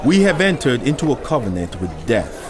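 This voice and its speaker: A man's voice: medium pitch, sing-song, a little fast, lightly acted. Guy doing a cocky defiant ruler scoffing in a bible drama, declaiming over crowd n noise. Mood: boastful, defiant. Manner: boastful, declamatory. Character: arrogant scoffing male ruler, boastful and defiant. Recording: noisy crowd behind the voice.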